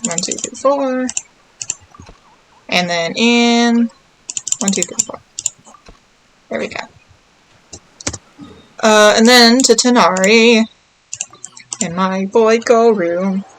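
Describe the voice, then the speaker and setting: A woman's voice: on the high side, slow, pauses often, fairly bright tone, a little hiss or hum, poor audio. A young woman giving casual game playthrough commentary, tossing offhand, playful asides to the characters. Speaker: game streamer.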